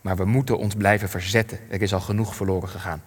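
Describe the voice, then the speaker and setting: An actor playing a weary, grave old resistance veteran in a radio play, speaking in solemn, measured phrases with long reverb. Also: male voice, low voice, fairly even intonation, husky. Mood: solemn, searching. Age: older. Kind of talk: Dutch radio play acting.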